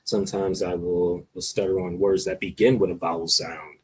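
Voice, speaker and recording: Lower-voiced man who stutters. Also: level tone, normal speed, darkish tone, husky. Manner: person who stutters, with hesitations and repeated words. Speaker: guest speaker on stuttering. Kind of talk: casual conversational discussion.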